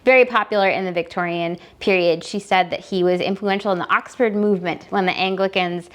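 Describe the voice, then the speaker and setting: A woman's voice: mid-range voice, fairly even intonation, a little fast, a bit bright. A woman talking casually and enthusiastically to viewers as a book vlog host, gushing and wandering into asides as she thinks aloud. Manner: enthusiastic, gushing about books. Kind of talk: casual chatty reading vlog.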